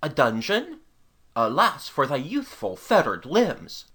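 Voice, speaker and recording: A woman voicing a haughty, gallant medieval knight in a storybook, with husky, pompous, stately archaic speech. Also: very deep voice, very animated, measured pace, voice pushed down, full character voice. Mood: grave sympathy. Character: haughty, gallant medieval knight captain, male, gravely noble. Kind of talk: storybook character dialogue.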